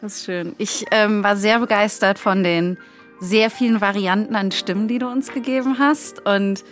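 A woman's voice: medium pitch, fairly even intonation, normal speed, faint music behind. A woman co-hosting in casual chat, speaking in an effusive, informal way. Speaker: co-host and scriptwriter.

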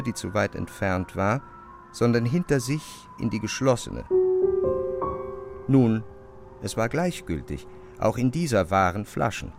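A man with speech narrating a literary classic in a measured, expressive way. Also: lowish voice, vocal fry. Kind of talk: literary audiobook narration, dramatized radio reading.

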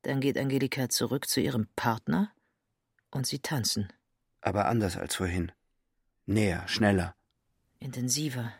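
An actor playing an uneasy crane operator in a radio play monologue, hushed, terse and measured. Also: male voice, mid-range voice, gravelly, husky, no room sound, clean studio sound. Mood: subdued, uneasy. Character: male crane operator narrating his unease.

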